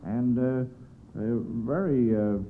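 A man's voice: medium pitch, takes it slowly, a little hiss or hum. An American man hosting an old-time radio quiz show in a folksy, hesitant, encouraging way, reading questions and sponsor messages. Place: radio studio. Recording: tinny band-limited vintage radio broadcast.

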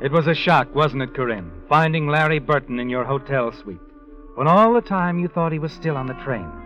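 A man's voice: on the high side, animated, normal speed, close to an everyday voice. Man doing a knowing old radio narrator, swoopy delivery talking right at the heroine, dull sound. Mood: knowing, suspenseful. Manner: insinuating, rhetorical. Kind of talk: dramatic second-person radio narration. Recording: muffled vintage radio with music.

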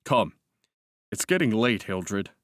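A man's voice: lowish voice, sing-song, slow, no echo. A male reader plays a boyish soldier cousin from a storybook, easygoing and familiar. His voice lilts up and down as he soothes and placates, kindly throughout. The sound is close and dry. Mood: coaxing. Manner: genial, placating, old-chap familiarity.

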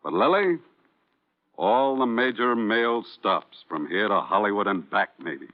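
An actor playing a sardonic Broadway producer, biting and theatrical, in an old-time radio crime drama. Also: male voice, on the low side, lively intonation, measured pace. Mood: defensive, bitter. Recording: tinny, band-limited vintage radio recording.

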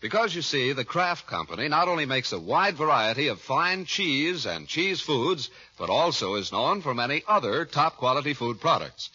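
A man's voice: medium pitch, big pitch swings, moderate pace, few pauses, pushed and bright, slightly rough. A man working as a 1940s radio announcer, reading adverts and scripted narration with polished, emphatic salesmanship. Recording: dull vintage radio recording.

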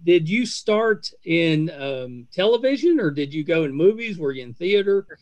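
A man's voice: a little high, lively intonation, moderate pace, darkish tone, no room sound. An American man in his fifties hosting a casual interview, chatty and rambling, with hesitant phrasing. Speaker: podcast host.

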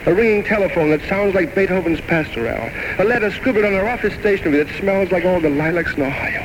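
A man's voice: sits high, lively intonation, quick pace. An actor playing a tormented, grandiose alcoholic writer in his thirties, rambling theatrically and bitterly mocking himself in an old radio drama. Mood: dreamy, boastful. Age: 30s. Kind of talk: 1940s-1950s radio drama acting. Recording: noisy old radio broadcast recording.

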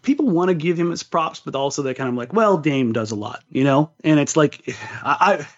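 A man's voice: fairly high pitch, lively intonation, brisk, lo-fi sound. An American man talking sports casually as a podcast co-host, emphatic and opinionated, repeating words for stress.

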